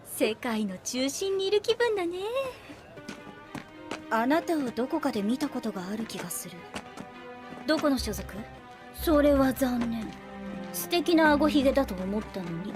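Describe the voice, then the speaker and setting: An actress playing a shrewd, languid young woman soldier in anime, calm and teasing, with a soft, unhurried, analytical way of speaking. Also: high-pitched. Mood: playful. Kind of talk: Japanese anime voice acting. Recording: dialogue over background music.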